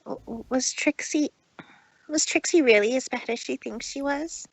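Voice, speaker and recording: A woman's voice: sits high, dry recording. A woman voicing a proud but uneasy mare who speaks formally and in the third person, in a fan fiction reading. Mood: uneasy, serious. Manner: formal, halting, refers to herself by name.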